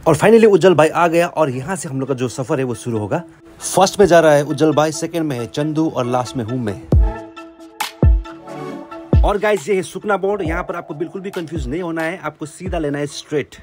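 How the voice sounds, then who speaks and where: A man's voice: a little high, brisk. Chatty young man narrating a ride. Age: young adult. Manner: chatty tour-guide tone, keeps calling out to viewers. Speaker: motorcycle travel vlogger. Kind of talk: casual travel vlog narration. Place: outdoors on hill roads and at a roadside cafe. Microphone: helmet camera and handheld selfie camera. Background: background music and passing vehicles.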